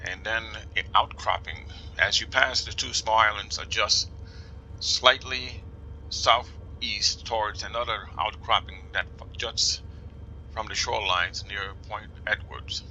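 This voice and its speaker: A man's voice: fairly low pitch, steady tone, pushed and bright, slight background noise, rough recording. A man with a New Jersey accent giving casual commentary while playing a flight sim, musing aloud and slipping into whispers. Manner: musing aloud, reading instructions slowly, often drops to a whisper.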